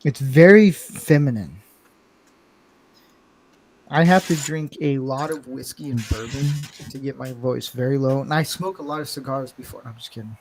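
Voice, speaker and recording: A man's voice: medium pitch, moderate pace, a little mellow, a little hiss or hum. A man hosting a casual live podcast, talking bluntly and rambling with self-mocking humor. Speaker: podcast host. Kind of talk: casual live-stream chat.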